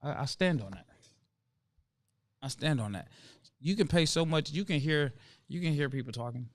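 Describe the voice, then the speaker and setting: A man's voice: fairly high pitch, expressive, dry recording. Laid-back man hosting a live chat. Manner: laid-back, calls out viewers by name, drawn-out emphasis. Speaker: livestream host. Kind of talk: casual livestream chat.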